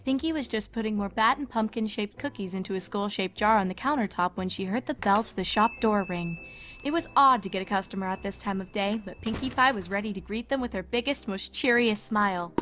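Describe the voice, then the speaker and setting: A woman's voice: mid-range voice, a little fast, a bit bright. A woman reading scripted third-person story narration as the narrator of a cartoon fan fiction.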